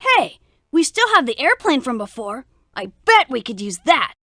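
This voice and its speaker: A woman's voice: high-pitched, sing-song, normal speed, sharp tone, no room sound, clean studio sound, heavily acted. A woman playing an eager, chipper young spy kid in an educational cartoon game. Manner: enthusiastic.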